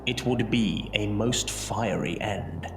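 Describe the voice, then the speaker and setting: A man narrating an audio drama as a scripted story, theatrical and deliberate, with dramatic pauses. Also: on the low side, noisy background. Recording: roomy echo under music. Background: music.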